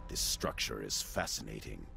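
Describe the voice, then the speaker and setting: An actor playing a cold, analytical robot scientist in a video game, with formal, clipped and aloof diction. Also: male voice, extremely low pitch, very animated, moderate pace, gravelly, over loud music, exaggerated voice. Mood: fascinated.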